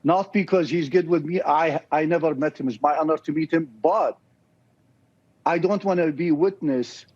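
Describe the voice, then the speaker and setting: A man's voice: on the high side, normal speed, a little mellow. A man with an Iraqi accent, speaking earnestly and passionately as an interview guest in simple, broken phrasing. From Iraq. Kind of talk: video interview. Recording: dull lo-fi recording.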